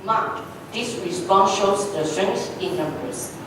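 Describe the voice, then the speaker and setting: A proud, encouraging school principal played by a female reader speaks at a medium pitch. The amateur stage reading is heard from far off in a very echoey, noisy room. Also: takes it slowly, close to an everyday voice. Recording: very echoey room, distant mic, clear noise.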